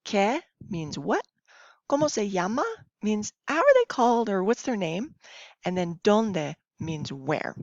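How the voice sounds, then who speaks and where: A woman's voice: mid-range voice, big pitch swings, unhurried. Woman teacher going through a slide lesson, takes her time, kinda muffled lo-fi recording. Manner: instructive, checks in with short tag questions. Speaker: Spanish teacher. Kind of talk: recorded classroom lesson, reading slides aloud. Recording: dull lo-fi, roomy home recording.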